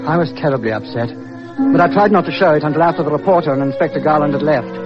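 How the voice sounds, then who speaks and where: An actor playing an anxious, excitable man who runs a rooming house, in an old-time radio thriller. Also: fairly high pitch, rapid-fire, lightly acted. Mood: troubled, narrating. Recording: dull old radio recording, music behind.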